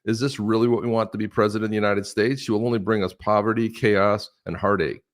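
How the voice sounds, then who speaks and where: A man's voice: lowish voice, level tone, fast talker. A man of about sixty talking politics in a casual, joking way as co-host of a live show, often breaking into laughs. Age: 60s.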